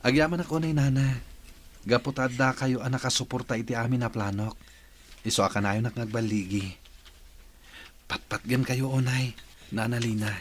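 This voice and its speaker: A man's voice: medium pitch, fairly even intonation, normal speed, long pauses, a little hiss or hum, close to an everyday voice. A man with a Filipino accent playing an earnest, respectful son in a radio drama, addressing his mother. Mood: grateful.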